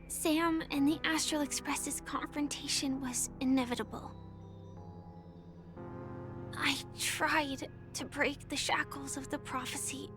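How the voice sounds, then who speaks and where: An actress voicing a gentle, quietly sincere young woman with a secret in a video game, with a soft, breathy, measured delivery. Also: high voice. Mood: apologetic, wistful.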